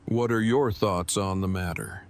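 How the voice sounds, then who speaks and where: A man acts out an earnest, devoted boyfriend in a post-apocalyptic audio drama. His voice is on the low side, and he talks in verbose, formal, carefully stilted sentences. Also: steady tone, unhurried, a little hiss or hum, close to an everyday voice. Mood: dry, affectionate. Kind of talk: fan audio drama acting.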